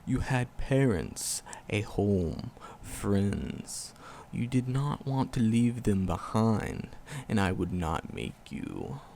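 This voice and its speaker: A gravelly, lowish voice of an ancient forest demon, tender, sorrowful and protective. He tells his tale very slowly and solemnly, with big swoops in pitch, in an ASMR roleplay. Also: a little mellow, slight background noise, full character voice. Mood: wistful, tender. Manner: slow, solemn, archaic phrasing, tender. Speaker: voice actor in audio roleplay. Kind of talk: ASMR roleplay monologue, dramatic storytelling.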